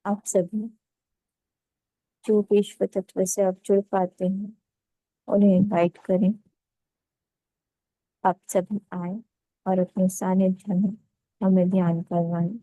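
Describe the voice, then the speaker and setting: A woman leading a guided meditation, giving calm, unhurried instructions in short phrases with pauses. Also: medium pitch, dry recording, poor audio.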